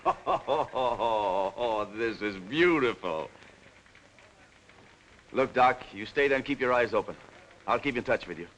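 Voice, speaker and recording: A man's voice: medium pitch, expressive, slow pace, pauses often, fairly bright tone. A man playing a smug, scheming political operator in a 1940s crime film, glib and falsely chummy. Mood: gleeful. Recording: noisy old 1940s film soundtrack.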